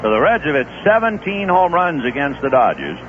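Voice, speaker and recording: A man's voice: a little high, fairly quick. A man doing live play-by-play baseball commentary on the radio, his voice climbing with the big plays. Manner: lively, rising with big plays. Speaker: play-by-play announcer. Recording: tinny, noisy 1970s radio broadcast.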